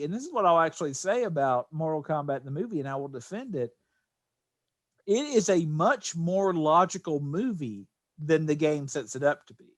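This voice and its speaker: A man's voice: fairly high pitch, no room sound. A middle-aged man chatting casually and opinionatedly about films as a podcast co-host, rambling as he goes. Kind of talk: casual film chat.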